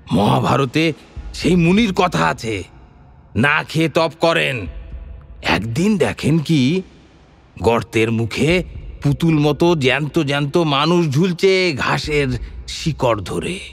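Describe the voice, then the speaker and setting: A man's voice: fairly high pitch, vocal fry, slightly rough, exaggerated voice. A man playing an earnest, brooding rural bandit who tells stories and asks indignant rhetorical questions in an audio drama. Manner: theatrical, rhetorical questions, addresses a listener as babu. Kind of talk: dramatic Bengali short-story monologue.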